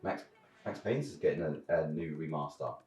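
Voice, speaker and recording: A man's voice: rumbling low, expressive, moderate pace, darkish tone, echoey room, soft background music. A British man giving his opinions on films and games in a casual, slangy chat as a reviewer. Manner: informal, slangy. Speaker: film and game reviewer.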